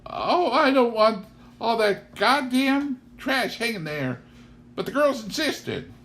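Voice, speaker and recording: A shy, rough-tongued farm wife acted out by a male reader rambles in a high, lifted voice with rustic grammar. It is a storybook character in an audiobook, with noticeable noise on the recording. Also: sing-song, takes it slowly, a bit bright, exaggerated voice. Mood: embarrassed. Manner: folksy rustic grammar, trailing off. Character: shy, coarse middle-aged farm wife, embarrassed and rambling.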